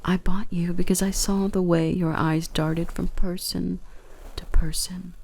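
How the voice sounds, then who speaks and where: A woman plays a gentle dark elf woman in an intimate ASMR roleplay. Her voice is lowish and soft, with a touch of gravelly fry, often dropping into breathy whispers. She speaks very slowly, soothing and tenderly possessive. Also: flat delivery. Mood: soothing, protective.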